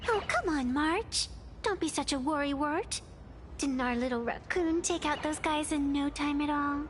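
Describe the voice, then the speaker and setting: A woman's voice: high-pitched, moderate pace, a little hiss or hum, close to an everyday voice. A woman voicing a playful, philosophical young female game character who teases coolly. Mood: playful gushing. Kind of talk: video game voice acting. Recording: faint game music behind.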